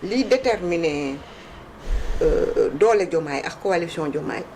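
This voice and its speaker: A woman with a Senegalese accent giving political commentary in an animated discussion, emphatic and repeating points for stress. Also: on the low side, unhurried, slight background noise. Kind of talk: animated political discussion mixing two languages.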